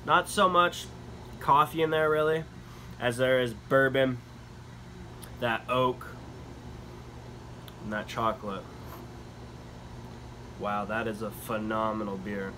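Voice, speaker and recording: A man's voice: mid-range voice, takes it slowly, pauses often, poor audio. Young male beer vlogger, mid-range voice, loose and upbeat, recorded at a table in a small room with a faint hum behind. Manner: relaxed, enthusiastic tasting comments with frequent fillers. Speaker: beer reviewer. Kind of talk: casual beer review vlog. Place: small indoor room with wood-paneled walls.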